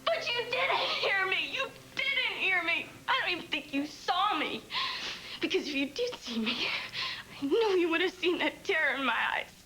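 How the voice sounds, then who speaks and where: Young woman, twenties, tearful, raw, hushed to shouting, very high-pitched voice. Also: very animated, fairly quick, background noise. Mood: furious, tearful. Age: 20s. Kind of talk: TV drama acting.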